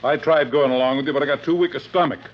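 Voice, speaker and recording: An actor playing a bitter, blunt, hard-drinking middle-aged father in a 1950s radio drama, rough-spoken and defiant. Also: mid-range voice, fairly even intonation, racing, a little hiss or hum, lightly acted. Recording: dull vintage radio recording.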